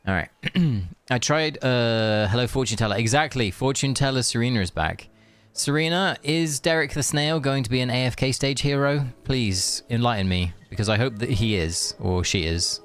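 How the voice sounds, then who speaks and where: A man's voice: mid-range voice, normal speed, fairly bright tone, clean tone. A man chatting casually on a game live stream, rambling and answering viewers as he plays. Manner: rambling, chatty, reads chat aloud.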